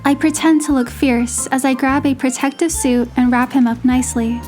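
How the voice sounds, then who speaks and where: Woman as high-voiced young heroine narrating. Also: fairly even intonation, normal speed, darkish tone, soft background music, lightly acted. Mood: gentle storytelling. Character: young female heroine narrating her romantic trip. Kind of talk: scripted first-person story narration.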